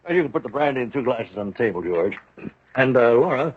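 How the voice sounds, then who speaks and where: An American actor playing a wealthy, controlling middle-aged father in a 1970s radio drama, condescending and menacing, with a husky voice. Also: mid-range voice, expressive, fast talker. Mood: genial, brisk. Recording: dull, muffled vintage radio recording.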